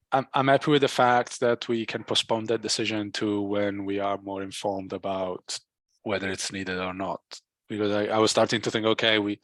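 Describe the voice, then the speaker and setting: Low voiced guy on a work call, dry sound, thinks out loud, stumbles and repeats words, casual tech talk. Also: no room sound. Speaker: software engineer in a team meeting. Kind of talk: casual technical work discussion.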